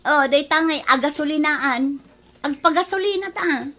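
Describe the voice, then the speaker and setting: A woman with an Ilocano accent playing a vain, spendthrift working wife deep in debt in a comedy radio drama. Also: normal speed, sharp tone. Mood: showy.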